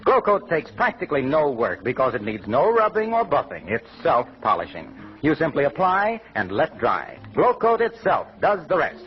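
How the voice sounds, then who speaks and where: A man's voice: on the high side, wildly swooping pitch, moderate pace. An American man reading a 1940s radio advertisement as the announcer, in a polished, folksy selling manner. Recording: muffled old radio broadcast.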